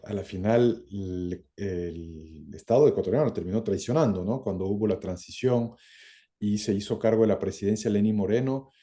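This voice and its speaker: A middle-aged man from France and Ecuador giving reflective interview answers, halting as he searches for words. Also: lowish voice, dark tone. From born in France, lived some twenty years in Ecuador. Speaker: interview guest, academic and former government minister.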